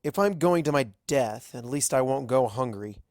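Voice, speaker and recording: A man's voice: medium pitch, animated, normal speed, darkish tone, no room sound, studio quality. A man reading a story aloud as a first-person narrator, in scripted narration. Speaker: fan fiction reader.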